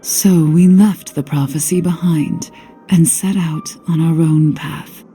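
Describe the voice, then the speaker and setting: An actress playing a wistful, guarded witch in a video game, with a soft, measured, melancholy delivery. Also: low voice, fairly even intonation, faint music behind. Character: wistful, guarded witch haunted by lost love. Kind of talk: dramatic video game voice acting.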